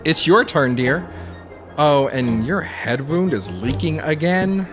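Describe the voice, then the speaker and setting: A man's voice: on the high side, animated, slow, over loud music. Man playing a campy, sardonic undead avenger. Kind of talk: audio play acting.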